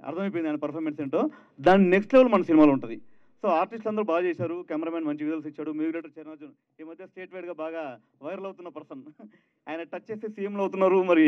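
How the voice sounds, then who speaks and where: A male film director speaks at a promotional launch, thanking people. His voice is high and he talks very quickly, slipping in English words. The sound is dull and lo-fi. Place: film launch event, at a microphone. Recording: dull, lo-fi event PA recording.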